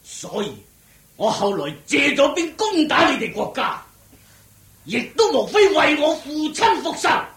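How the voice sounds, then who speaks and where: A man's voice: extremely high pitch, big pitch swings, a little slow, a bit bright, full character voice. A man playing a blustering, defiant enemy warrior king in a radio drama, stammering and theatrical. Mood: defiant, bitter. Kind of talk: Cantonese radio drama acting. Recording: echoey radio drama recording.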